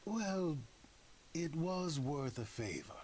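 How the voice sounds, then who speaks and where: Sly male god for a video game: coaxing, evasive, lively swooping delivery, unhurried. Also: fairly high pitch.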